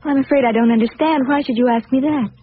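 A woman's voice: high voice, quick pace. An actress playing an earnest, guarded young woman in a 1940s radio drama. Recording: tinny old radio recording.

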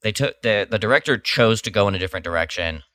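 A man with a fairly low voice co-hosts a podcast in casual chat. He reacts informally and with emphasis, and the sound is dry and close. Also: quick pace, fairly bright tone, dry recording. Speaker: podcast co-host.

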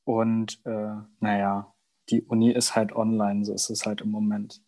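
A young man who grew up in Germany, a university student answering interview questions in a relaxed, casual way. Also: fairly low pitch, a little slow. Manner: relaxed, with many fillers. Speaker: guest.

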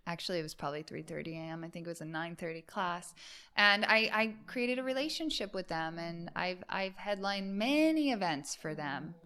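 A woman's voice: fairly low pitch, normal speed, sharp tone, clean tone. Chatty American yoga instructor woman. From United States. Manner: chatty, with frequent fillers and restarts. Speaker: yoga and fitness instructor, guest. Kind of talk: casual interview chat. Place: home, Miami.

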